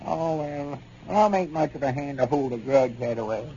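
An actor playing a plain-spoken, peaceable old Southern country grandpap in folksy 1930s radio comedy. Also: male voice, medium pitch, lively intonation, moderate pace, mellow, lightly acted. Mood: reassuring. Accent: rural Southern US. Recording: dull, noisy 1930s radio recording.

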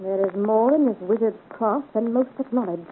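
A woman's voice: fairly high pitch, normal speed, phone-quality sound, close to an everyday voice. An actress playing a haughty, scheming noblewoman with a commanding, theatrical delivery, in a 1950s radio serial on a thin, noisy recording. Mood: anxious. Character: imperious, scheming French noblewoman.

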